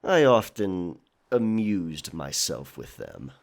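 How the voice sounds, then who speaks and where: Man acting a low-voiced, world-weary young pirate. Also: sing-song, gravelly, heavily acted. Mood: halting, rueful. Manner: halting, wry confession. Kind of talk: dramatic audio drama acting.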